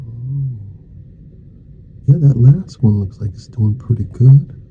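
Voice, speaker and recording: A man speaking softly, at times nearly whispering, in an ASMR roleplay as a dentist, with folksy, reassuring small talk in short murmured phrases. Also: mid-range voice, lively intonation, slow pace, phone-quality sound, slight background noise. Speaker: ASMR performer playing a dentist. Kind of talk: ASMR roleplay, soft-spoken to half-whispered.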